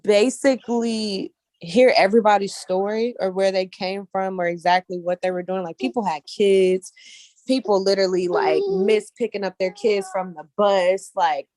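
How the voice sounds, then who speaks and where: A woman with a mid-pitched voice shares personal stories as a guest in a casual interview. She is earnest and animated, with colloquial phrasing and frequent check-ins with the listener. The sound is close and dry. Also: moderate pace, dry recording. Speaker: guest, actress and casting director.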